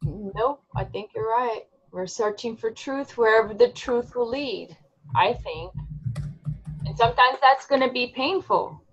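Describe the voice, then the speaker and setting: A woman's voice: a little high, a little slow, slightly rough. A woman from Rico, a Spanish speaker, talking earnestly on a group video call and sometimes searching for words. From Puerto Rico. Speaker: call participant. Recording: dull lo-fi call audio.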